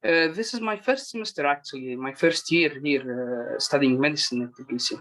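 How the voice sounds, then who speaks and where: A man from Lebanon, a first-year medical student guest, talking hesitantly with many pauses over a video call. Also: fairly high pitch, a bit bright. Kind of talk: casual chat.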